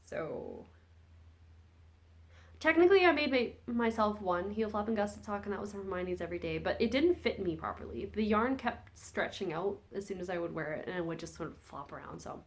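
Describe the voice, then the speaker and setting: A woman's voice: mid-range voice, animated, normal speed. Chatty woman, phlegmy from a cold. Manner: rambling, chatty, self-correcting. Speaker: podcast host. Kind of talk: casual chat about knitting projects.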